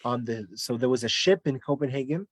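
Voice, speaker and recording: A man's voice: medium pitch, a little fast, dry recording, clean studio sound. A man from Denmark, a guest in a casual online interview, telling his family story in a rambling way with many restarts and fillers.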